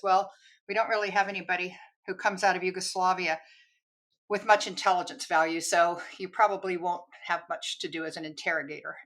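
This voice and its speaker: Older woman telling lively war stories. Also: lowish voice. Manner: lively, storytelling, quick to laugh. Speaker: retired army counterintelligence agent, guest. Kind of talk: telling her career story in an interview.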